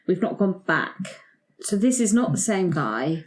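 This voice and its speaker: A woman's voice: fairly low pitch, sing-song, a little slow. A woman chatting casually as a player in a tabletop roleplaying game.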